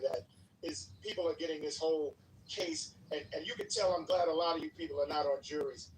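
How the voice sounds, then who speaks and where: The recording is distant with some noise behind it. An irritated debater argues in a group discussion, insistent and repetitive. The delivery is very animated and peppered with swearing. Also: medium pitch, echoey room. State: worked up, irritated. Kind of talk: heated debate in a live online group audio discussion. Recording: distant mic, noticeable background noise.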